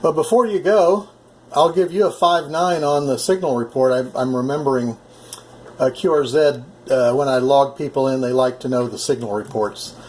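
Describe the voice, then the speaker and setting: A man talking casually as an amateur radio operator, in a friendly and halting way. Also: medium pitch, darkish tone, slight background noise. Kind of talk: casual chat over ham radio.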